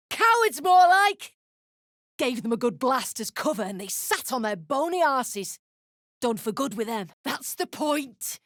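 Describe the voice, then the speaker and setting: A woman voicing an embittered, foul-mouthed deep gnome rebel in a video game, blunt and snarling. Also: a bit bright, no echo, studio quality, heavily acted. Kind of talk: video game character acting.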